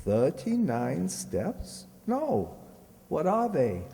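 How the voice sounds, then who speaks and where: A fairly high, mellow man's voice with lively swings of pitch, heard in a reverberant hall. An actor plays a gallant but skeptical gentleman in an old-time radio drama, polite and wry, taking his lines at a slow, measured pace. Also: creaky, heavily acted. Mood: sobered, intrigued. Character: gallant, skeptical gentleman drawn into a spy intrigue. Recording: reverberant stage-like radio play recording.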